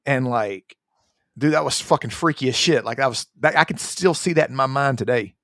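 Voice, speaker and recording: A man's voice: mid-range voice, moderate pace, fairly bright tone, dry recording, clean studio sound. A man co-hosting a casual gaming podcast, telling things in an emphatic, slangy, sweary way. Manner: slangy, emphatic storytelling, profane. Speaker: podcast co-host. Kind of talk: casual chat about video games.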